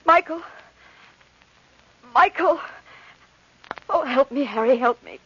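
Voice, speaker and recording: Haunted young bride, high breathy voice, urgent n melodramatic, muffled old radio horror. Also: female voice, expressive, takes it slowly, fairly bright tone, heavily acted. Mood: frantic, pleading. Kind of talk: old-time radio horror drama with narration. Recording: muffled vintage radio recording.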